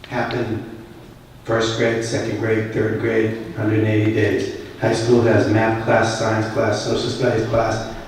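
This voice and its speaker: A man's voice: on the low side, darkish tone, distant mic, a little hiss or hum. Boston man speaking in an echoey hall. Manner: conversational, emphatic, asks the audience questions. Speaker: conference keynote speaker. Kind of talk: conference keynote speech. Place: large conference hall.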